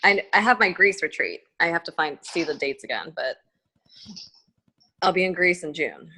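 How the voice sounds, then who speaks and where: A talkative woman chats on a video call, bright and a little tense in tone, her voice sitting on the low side. She is casual and quick to laugh. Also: expressive, a little hiss or hum, rough recording. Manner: chatty, laughs often.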